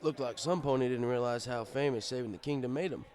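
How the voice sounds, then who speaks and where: A man's voice: medium pitch, fast talker, a little mellow, a faint crowd, close to an everyday voice. A man playing an easygoing, fatherly pony bartender who tells his story in a wry, conversational way. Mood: wry, settled. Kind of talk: first-person audiobook narration in character.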